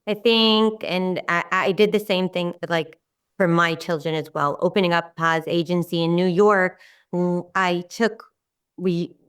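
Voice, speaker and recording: A middle-aged woman with an Israeli accent, speaking English as her second language, heard slightly away from the mic. Her voice is smooth and resonant. She rambles warmly, often restarting her sentences. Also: fairly low pitch, steady tone, a little slow, far from the mic. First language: Hebrew. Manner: rambling, warm, with many restarts and fillers. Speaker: guest. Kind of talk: casual interview talk.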